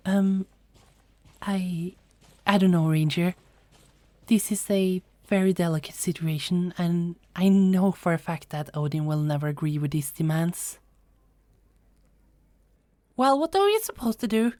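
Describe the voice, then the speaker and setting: A woman acts as a lively goddess in a fantasy audio drama. Her lowish voice moves freely up and down in a dry, close recording, sensible one moment and playfully commanding the next. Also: a little slow, pauses often, dry recording. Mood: worried, reasoning. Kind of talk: audio roleplay acting.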